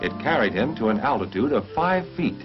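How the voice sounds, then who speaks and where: An American man narrating a mid-20th-century military training film in a formal, measured, instructional manner, on an old film soundtrack. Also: medium pitch, sing-song, highs cut off, soft background music.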